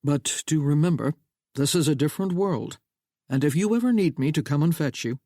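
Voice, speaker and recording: A big-voiced older American father with a booming drawl, as a male narrator puts him on. The voice sits a little high and very dry, close to the mic. He talks proud and protective. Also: animated, fairly quick, warm tone, no room sound. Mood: fatherly.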